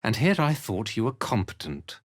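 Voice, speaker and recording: An actor playing a cold, zealous cult commander in a fantasy video game, speaking in a measured, theatrical and disdainful way. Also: male voice, fairly low pitch, sing-song. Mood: commanding. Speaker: villainous military commander.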